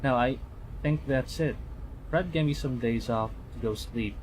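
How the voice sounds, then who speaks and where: Male game streamer, mid-pitched, halting read-aloud of story text, faint noise behind. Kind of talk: game livestream, reading visual novel lines aloud.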